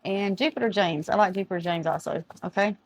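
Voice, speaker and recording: A woman's voice: medium pitch, brisk, slight background noise. Chatty woman reading tarot. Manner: chatty, reads aloud from a card book, loses words. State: losing her voice. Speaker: tarot reader. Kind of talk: casual tarot reading to camera. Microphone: camera mic, speaking to camera.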